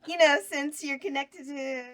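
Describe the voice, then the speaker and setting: A woman's voice: sits high, fairly even intonation, unhurried, fairly bright tone. A woman reading a flirty, bawdy horror hostess at a table read. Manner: bawdy, giggly. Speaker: cast member in table read.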